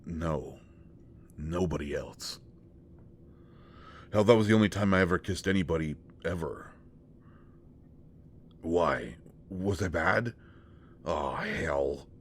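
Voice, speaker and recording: A man's voice: low voice, slow, long pauses, a little hiss or hum. A man playing a shy, awkward young shapeshifter in an intimate roleplay audio drama, stammering and hesitant as he talks to a close friend. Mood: nervous, apologetic.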